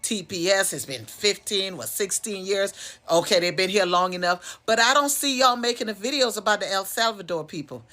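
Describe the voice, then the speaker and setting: A woman's voice: medium pitch, expressive, normal speed, sharp tone. A woman from Florida giving her opinion in a casual vlog, firing off pointed questions. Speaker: online commentator.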